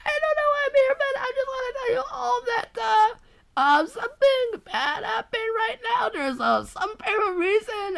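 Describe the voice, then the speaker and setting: Someone doing a high, whiny distraught homeowner. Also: creaky, fairly bright tone, heavily acted.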